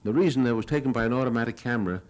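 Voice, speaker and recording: An older American man giving a lecture, narrating his own war film in a measured, plain-spoken, reminiscing way. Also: medium pitch, a little mellow. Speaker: newsreel reporter giving a lecture. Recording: 1970s archival lecture audio recording.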